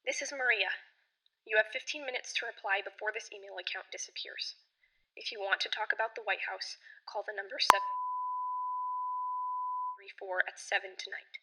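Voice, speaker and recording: A woman's voice: mid-range voice, moderate pace, long pauses, pushed and bright, rough recording. An actress playing a frightened woman survivor on the run in an audio drama, urgent, clipped and insistent. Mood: curt, secretive. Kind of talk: audio drama phone-call acting.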